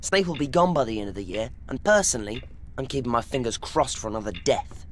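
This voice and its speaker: A suspicious, determined schoolboy acted by a man in a video game. His earnest delivery is lively in pitch, with faint noise behind it. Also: fast talker, close to an everyday voice. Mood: indignant. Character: earnest, determined, suspicious teenage boy wizard. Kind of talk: video game cutscene acting.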